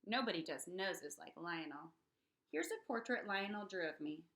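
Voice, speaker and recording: A woman reading a children's picture book aloud in an expressive, dramatic way for young listeners. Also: on the low side, moderate pace, vocal fry. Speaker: read-aloud storyteller.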